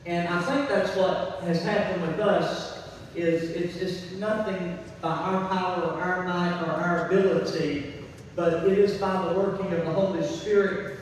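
A man's voice: high-pitched, fairly even intonation, measured pace. A man preaching a church sermon as pastor, folksy and emphatic, repeating key phrases, his voice a little weakened by laryngitis. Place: church, speaking to a congregation. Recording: very echoey hall, distant mic, faint noise.

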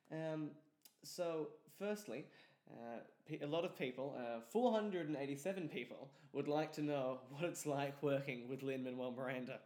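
A male interviewer with a mid-pitched voice, recorded with a roomy echo around him. He puts his questions politely, feeling his way through the phrasing as he goes. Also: darkish tone. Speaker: interview host. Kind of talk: radio-style interview questioning. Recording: roomy, echoey sound.

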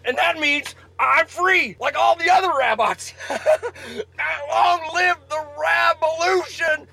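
An actor voicing a defiant robot rabbit underling in a comic radio play, hammy and melodramatic, with a gravelly, raspy delivery. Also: male voice, very high-pitched, noisy background, exaggerated voice. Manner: drawn-out, hammy wailing and shouting.

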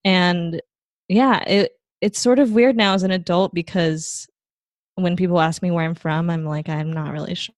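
A young woman from Taiwan speaks in a fairly low voice. She talks in a loose, rambling way, filling gaps with plenty of "like" and "um". The sound is close and dry, with no room echo at all. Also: moderate pace. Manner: relaxed, rambling, many fillers. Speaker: guest. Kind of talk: casual conversation.

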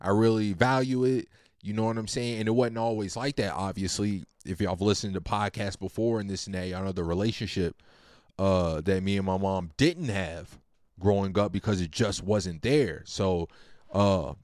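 A laid-back man nearing thirty talks through his thoughts in a loose, meandering way, full of slang and swearing. His voice sits a little low. The recording is dry and close, with no room sound. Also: moderate pace, darkish tone, no room sound. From Virginia. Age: 20s. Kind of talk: casual personal monologue.

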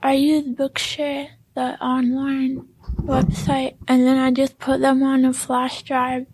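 A young woman on a podcast team, speaking in a careful, measured way as she reads or chats. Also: sits high, completely flat delivery, a little mellow, a little hiss or hum. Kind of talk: reading facts and casual chat.

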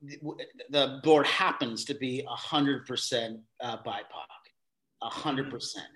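A man with a voice of ordinary pitch, chatting as a guest on an interview podcast. He works his ideas out as he speaks, doubling back and restarting, and he livens up now and then. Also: measured pace, rough recording. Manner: thinks aloud with many restarts and fillers, animated bursts. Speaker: guest, theater company facilitator. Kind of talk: casual conversational interview.

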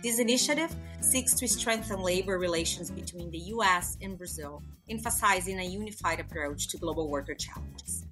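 A woman podcast host reading a formal guest introduction in a steady manner. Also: lowish voice, brisk, few pauses, music in the background.

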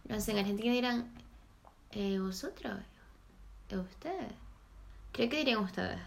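Curious woman with a gravelly edge. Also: medium pitch, lively intonation. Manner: curious, quick with questions. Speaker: host. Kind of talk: casual chat.

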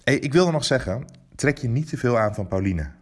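A man's voice: medium pitch, lively intonation, brisk, lightly acted. An actor playing a considerate, easygoing male colleague leaving a casual, reassuring message in a fiction podcast. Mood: apologetic, kind.

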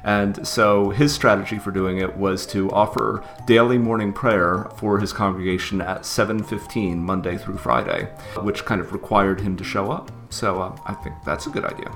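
A man's voice: fairly low pitch, normal speed, hardly any pauses, fairly bright tone, soft background music. A man co-hosting a podcast in casual, easygoing chat with hesitant fillers and chuckles. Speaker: podcast co-host.

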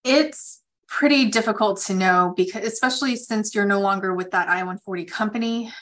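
A woman attorney hosting a conference call, explaining legal points in measured, pausing phrases. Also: medium pitch, a bit bright.

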